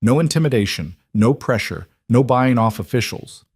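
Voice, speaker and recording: A man's voice: mid-range voice, expressive, normal speed, darkish tone, husky, no room sound. A man plays a weary, wary woman, pregnant and estranged from her husband, in an audiobook romance. The delivery is firm and guarded, recorded very dry.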